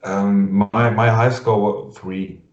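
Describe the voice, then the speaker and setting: A man with a German accent interviewing a guest over a video call in English, halting and full of fillers and restarts, laughing often. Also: lowish voice, flat delivery. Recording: muffled lo-fi sound in an echoey room.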